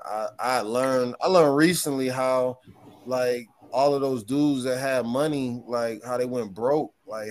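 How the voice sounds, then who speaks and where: A man's voice: medium pitch, darkish tone. A man with a thin voice chatting as a guest on a podcast, rambling and often restarting his sentences. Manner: rambling, with frequent restarts and trailing thoughts. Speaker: guest hip hop artist. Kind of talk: casual podcast conversation.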